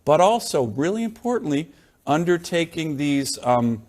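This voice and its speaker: A man's voice: fairly high pitch, sing-song, moderate pace, a little mellow. A man speaking as a guest on a cable news program, pushing assertive political talking points with a few hesitant fillers.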